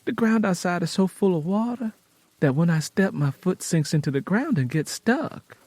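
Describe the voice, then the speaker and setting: A man's voice: high voice, lively intonation, moderate pace, darkish tone, no room sound, pitched above the performer's own voice. A man voicing an earnest rural Southern woman in an audio drama, coaxing her friend in plain folksy speech. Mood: gentle coaxing.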